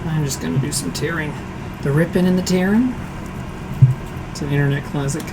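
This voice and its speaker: Hoarse man chatting while cooking. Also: a little high, brisk, very noisy. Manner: chatty, self-deprecating, answers chat aloud while cooking. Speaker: streaming cooking show host. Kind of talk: casual cooking livestream chat. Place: home kitchen.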